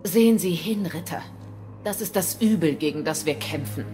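A woman's voice: fairly low pitch, moderate pace, music in the background, lightly acted. A woman playing a resolute, grave rebel leader, speaking formally and earnestly in a dramatic video game audio play. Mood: grave, accusing.

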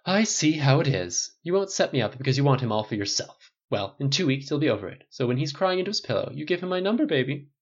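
A man's voice: medium pitch, sing-song, pitched above the performer's own voice. A man voicing a campy, flirtatious male hairdresser with a put-on German accent, teasing and sing-song. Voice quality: camp, sing-song, drawn-out. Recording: dull lo-fi recording.

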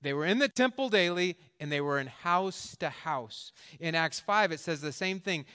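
A man's voice: on the high side, expressive, moderate pace, bright, forceful tone, smooth. A man in his fifties, a pastor delivering a conversational sermon with jokes and emphatic stresses. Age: 50s.

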